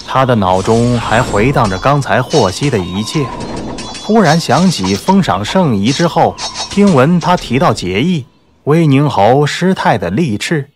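Male narrator, mid-pitched, measured literary storytelling, dramatic audiobook style, soft music behind. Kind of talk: dramatic scripted novel narration. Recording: soft background music under the voice.

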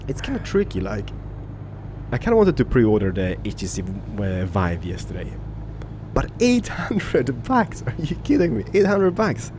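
A man's voice: medium pitch, huge pitch swings, measured pace. Man over truck noise. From Poland, raised in Sweden. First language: Swedish. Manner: rambling, loose, many fillers and restarts. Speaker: gaming YouTuber. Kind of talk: casual gameplay commentary with viewer Q&A. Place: home office. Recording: game engine noise under voice.

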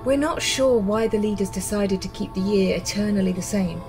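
A woman's voice: medium pitch, a little fast, over music, lightly acted. A woman playing a composed resistance medic in a radio drama, explaining things in a blunt, matter-of-fact way. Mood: grave, explanatory.

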